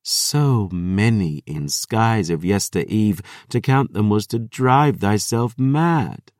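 Narrator putting on a cold vampire lady, archaic talk, low and slow, dry close sound. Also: animated, a little mellow, no echo. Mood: musing. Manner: sneering old-fashioned speech, thee and ye. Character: cruel archaic female vampire, menacing.

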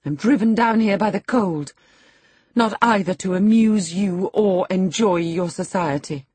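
A woman's voice: on the low side, big pitch swings, slow pace, warm tone, breathy edge, dry recording, voice pushed up, full character voice. A woman voicing a haughty, scornful young lady in an audiobook novel, speaking with cutting, imperious indignation. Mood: scornful, bitter. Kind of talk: classic novel character dialogue in audiobook reading.

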